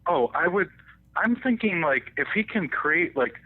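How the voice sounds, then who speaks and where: A man's voice: fairly high pitch, animated, a little slow. Man on a tinny phone-like line. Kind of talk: casual chat. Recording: tinny, narrow telephone-like line.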